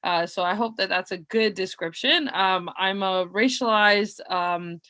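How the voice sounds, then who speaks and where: A young woman from Nova Scotia with a loud voice introduces herself as a guest speaker on a video call from her bedroom, talking earnestly with frequent ums and uhs. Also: medium pitch, measured pace. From Nova Scotia, Canada. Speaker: community organizer and guest speaker. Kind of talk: personal self-introduction at an online panel.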